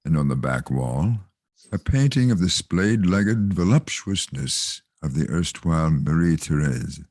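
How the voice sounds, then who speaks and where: A man with a very low voice narrates stage directions slowly. His delivery is measured and solemn, and the sound is close and dry. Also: expressive, a little mellow, no room sound. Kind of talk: scripted narration.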